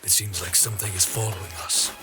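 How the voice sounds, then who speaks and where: A man's voice: low voice, fairly even intonation, quick pace, loud music behind the voice, close to an everyday voice. A man playing a stern, watchful lord with formal, commanding speech in a fantasy audio drama. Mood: composed. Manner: formal, commanding, archaic phrasing. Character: stern, watchful male lord guiding his companion.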